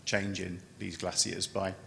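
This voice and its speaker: A man giving an unscripted technical conference presentation as a scientist, halting and often repeating words as he starts a phrase. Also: flat delivery, vocal fry, a bit bright. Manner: halting, with stammered word repetitions. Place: large conference room. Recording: echoey hall, noticeable background noise, low rumble.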